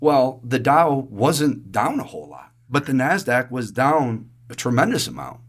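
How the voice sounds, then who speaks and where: A man with a raspy, allergy-hoarse voice, chatting through monthly market results on a podcast with everyday analogies. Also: mid-range voice, lively intonation, unhurried. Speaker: investment advisor co-host. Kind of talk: casual podcast market commentary.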